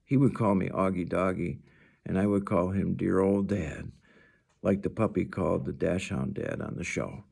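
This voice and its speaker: A man's voice: deep voice, normal speed, creaky, a little mellow, slightly rough. A man reading a children's novel aloud as a storyteller.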